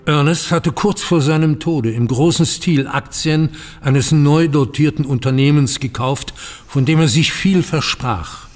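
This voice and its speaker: An actor playing a dutiful, aggrieved banker, formal and earnest, in a German audio drama. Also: male voice, a little high, measured pace, long reverb tail, faint music behind, close to an everyday voice. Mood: explaining.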